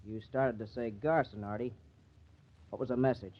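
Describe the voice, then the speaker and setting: A man playing a hot-headed, honest star pitcher in an old-time radio crime drama, blunt and slangy. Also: steady tone, moderate pace, lightly acted. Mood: combative. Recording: dull, band-limited old radio recording.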